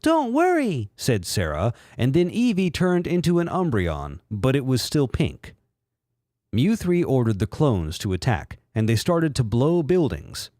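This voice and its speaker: A man's voice: mid-range voice, big pitch swings, measured pace, mellow, no echo. A man narrates in a theatrical, sardonic mock-dramatic style. His mid-range voice swings wildly up and down, and the recording is very dry. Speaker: reader and commentator.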